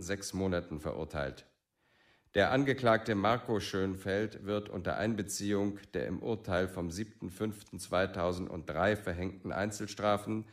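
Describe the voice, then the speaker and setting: An actor playing an impersonal court official, reading out formally and soberly in a documentary radio play. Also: male voice, lowish voice.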